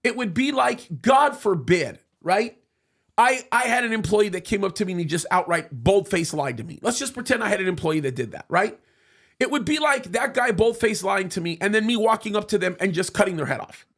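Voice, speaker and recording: A man holds forth in a studio talk show with heated conviction. His voice sits high and carries a gravelly fry. He stumbles over restarts and doubled words as he presses his point. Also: lively intonation, a little fast, fairly bright tone. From United States, of Egyptian parents. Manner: emphatic, with many restarts and repeated words.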